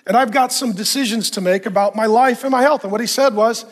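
A middle-aged man preaching a sermon on a church stage, animated and conversational with self-deprecating humor, somewhat hoarse and clearing his throat. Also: very high voice, fast talker. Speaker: pastor.

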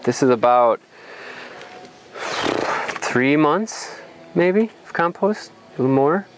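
A man's voice: a little high, animated, slow, noisy background. A young adult man explains things in an informal outdoor tour, thinking aloud with some hesitation, on a lapel mic. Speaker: farm guide. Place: outdoors on a farm.

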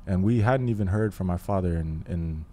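A man in his twenties from Haiti, telling his story as a guest, thoughtful and pausing often with fillers. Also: low-pitched, dark tone. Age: 20s. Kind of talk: casual interview. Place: living room podcast set. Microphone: studio mic on boom arm, headphones.

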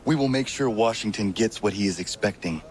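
An actor playing a solemn, resolute young captain who speaks formally and firmly. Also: male voice, on the low side, brisk, a little mellow, lightly acted. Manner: formal, stiff, firm. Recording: noisy outdoor ship ambience.

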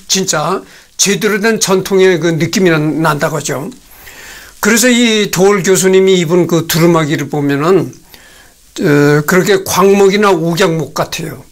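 Older Korean man with a high voice. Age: 60s. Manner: slow, halting, with many fillers and restarts. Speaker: host. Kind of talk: solo talk reading notes on a screen. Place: small indoor room at a desk.

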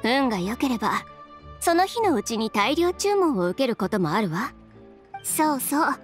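A young woman designer in a video game, self-assured and sharp-tongued. Her high voice moves animatedly through brisk, dryly teasing lines, with soft music underneath. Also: sing-song. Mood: assured, explaining. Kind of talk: video game voice acting in Japanese.

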